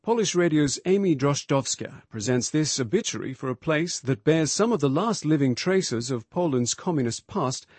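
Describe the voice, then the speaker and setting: A man presenting a radio news program, reading scripted links in a smooth, even way. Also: a little high, moderate pace, few pauses, no echo.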